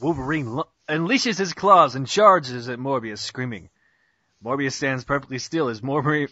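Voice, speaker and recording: A man's voice: on the high side, pushed and bright, no room sound. A young man giving an amateur mock-dramatic narration of a cartoon parody, hammy and stumbling over words, on a muffled internet call. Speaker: narrator in a group script reading.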